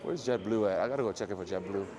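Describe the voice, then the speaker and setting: A man with a low voice chatting casually and rambling to his livestream viewers as he walks through an airport terminal. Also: very fast, a crowd in the background. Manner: rambling, joking, talks quietly. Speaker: IRL livestreamer. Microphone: phone or camera carried while walking. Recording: noisy phone livestream audio.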